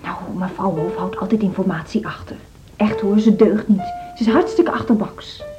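An actress in a Dutch radio drama playing a pushy, gossiping woman colleague who confides and flatters. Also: medium pitch, normal speed, close to an everyday voice. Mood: insistent, scheming. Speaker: actor. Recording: muffled recording with music behind.

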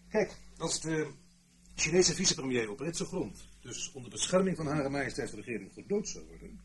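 An actor playing an earnest British intelligence officer, giving a formal, measured explanation in a Dutch radio play. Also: male voice, mid-range voice, expressive, breathy edge. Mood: grave, emphatic. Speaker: intelligence briefer.

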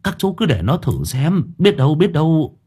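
A man's voice: fairly high pitch, very animated, normal speed, dark tone, slightly rough, voice pushed up, exaggerated voice. A man voicing an anxious village man in a storybook, hesitant and earnestly placating. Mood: anxious, persuading. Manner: hesitant, placating, repeating himself.

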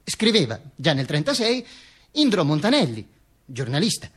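A male actor playing a dead dictator's corpse as a bombastic, sardonic, macabre storyteller in a theatrical radio monologue, declaiming with halting stammers. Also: a little high, sing-song, slightly rough. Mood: cold, contemptuous.

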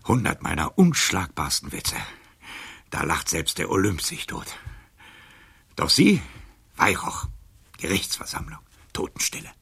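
Casting: proud, wounded old libertine for radio drama. Slow, rasping, theatrical declamation with whispers and outbursts. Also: male voice, sits low, very animated, a bit bright, heavily acted. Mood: indignant, ranting. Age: older. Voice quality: gravelly, husky, breaking into whispers. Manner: declamatory, swinging from muttering to outcries. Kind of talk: literary radio drama acting in verse.

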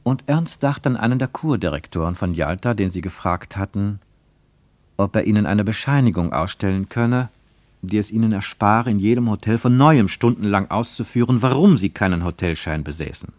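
A man's voice: lowish voice, animated, moderate pace, darkish tone, no echo. A man narrating a literary radio play in a dramatic, emphatic manner.

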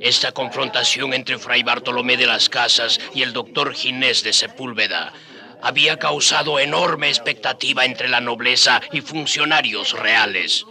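A solemn storyteller in a historical radio play. His medium-pitched voice is very bright and forceful, with a gravelly creak and a wry touch. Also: normal speed. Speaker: narrator. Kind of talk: scripted dramatic narration.

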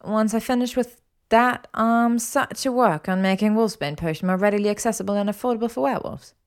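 An earnest, brainy young witch acted out by a woman narrator in an audio story. She speaks articulately and with animation, a little higher than plain narration. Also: brisk, a little mellow, clear, resonant tone, lightly acted.

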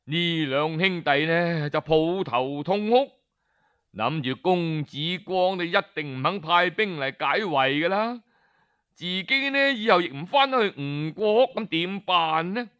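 A man with a Cantonese accent narrating a historical tale in traditional oral storytelling style, expressive and colloquial, addressing his listeners with small interjections. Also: fairly high pitch, a little slow, smooth.

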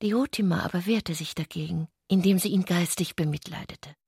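A woman narrating a literary radio play from a script, in a measured, deliberate manner. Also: lowish voice, warm tone, husky, dry recording, studio quality.

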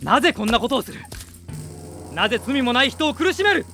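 A man's voice: very high-pitched, sing-song, a little fast, bright, forceful tone, exaggerated voice. A male actor playing a noble young prince in a fantasy audio drama, earnest and resolute, speaking formally and with passion. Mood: commanding. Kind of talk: Japanese fantasy radio drama acting. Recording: dramatic music behind the voice.

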